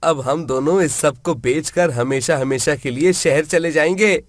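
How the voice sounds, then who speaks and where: A man's voice: a little high, measured pace, no room sound, studio quality, close to an everyday voice. A man voicing a spoiled, greedy grown son, brash and dismissive, in a storybook tale. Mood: contemptuous.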